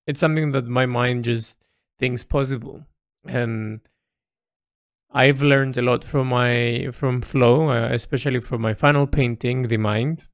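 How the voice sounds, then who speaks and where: Gravelly-voiced man thinking aloud. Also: medium pitch, dry recording, clean studio sound. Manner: hesitant, often repeating words and phrases as he thinks aloud. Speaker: artist recording an audio log. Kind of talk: reflective unscripted monologue.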